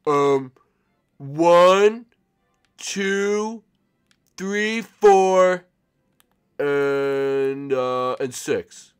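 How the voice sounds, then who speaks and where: A man voicing a childish boy who pretends to be a grown manly man, halting, formal and self-important. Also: on the high side, clear, resonant tone, faint music behind, voice pushed up. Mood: pompous, nervous.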